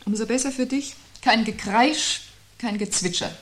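An actress as a husky, sceptical, sober woman. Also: fairly high pitch, normal speed, lightly acted. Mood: dryly reproving. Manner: dry, questioning, gently ironic. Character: sceptical, sober woman countering her gloomy partner. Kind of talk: 1970s German radio play dialogue.

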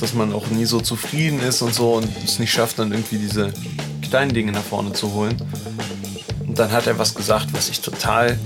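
A man narrating his own happiness experiment in a candid, self-critical, hesitant diary-like way. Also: medium pitch. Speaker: radio feature author running a happiness experiment.

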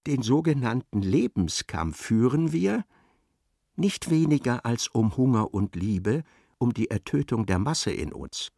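A man narrates literary prose for a radio drama. His mid-pitched voice has a gravelly fry, and his delivery is measured, precise and dramatic. The sound is very dry and close. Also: sing-song, dark tone, no echo.

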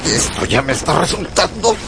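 An excitable, loyal manservant in a radio adventure drama. His voice is high and swoops wildly as he exclaims. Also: normal speed, background noise. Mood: grumbling.

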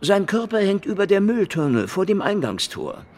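An actor playing a cynical, menacing Soviet secret-police investigator in a radio crime drama, with sardonic, deadpan wisecracks. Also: male voice, sits high, moderate pace. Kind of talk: hardboiled radio crime drama, acting and narration.

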